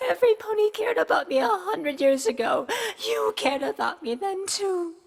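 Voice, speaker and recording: A woman's voice: very high voice, full character voice. A woman playing a lonely, wounded younger pony princess, plaintive and emotional, in a fantasy radio drama. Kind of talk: fan-made fantasy radio drama acting. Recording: long reverberant hall.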